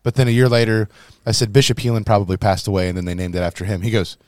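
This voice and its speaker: A hoarse man, a priest co-hosting a podcast in rambling casual chat. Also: fairly low pitch, level tone, racing, dry recording, studio quality. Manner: rambling, storytelling with laughs.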